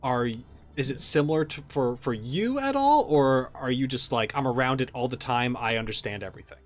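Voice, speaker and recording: A man's voice: medium pitch, expressive, a little fast, soft background music. A man hosting a casual podcast interview, asking long, loose questions full of fillers like "like" and "uh". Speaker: podcast host.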